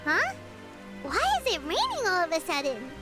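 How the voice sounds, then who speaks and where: Squeaky, very high childlike fairy companion. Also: female voice, wildly swooping pitch, normal speed, exaggerated voice. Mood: surprised curiosity. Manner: exclaiming, hesitant questions. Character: small childlike fairy companion, curious, blunt, excitable. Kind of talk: video game voice acting. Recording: loud background music.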